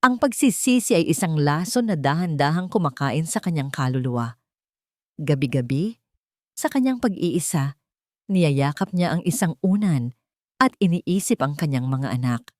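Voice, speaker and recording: Low-voiced woman storyteller, dry close sound. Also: darkish tone, no echo. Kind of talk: scripted dramatic narration of a love story.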